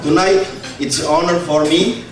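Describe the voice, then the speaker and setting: A man, an imam giving a formal, oratorical dinner speech with slow, emphatic phrasing. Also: fairly high pitch, fairly even intonation, background noise. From Somalia. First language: Somali. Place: large banquet hall indoors. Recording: echoey hall, distant mic, crowd chatter and clattering dishes.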